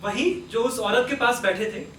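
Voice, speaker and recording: Earnest, courteous father played by a man, measured and insistent, roomy stage play, faint noise. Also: very high-pitched, a bit bright. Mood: earnest, reproving. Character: earnest, forgiving family man, gently moralising father. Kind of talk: Hindi stage play acting. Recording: echoey, distant stage recording with noise.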